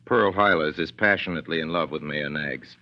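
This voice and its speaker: A man's voice: a bass voice, a little fast. A man narrating a scripted 1940s radio drama. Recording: dull, lo-fi 1940s radio recording.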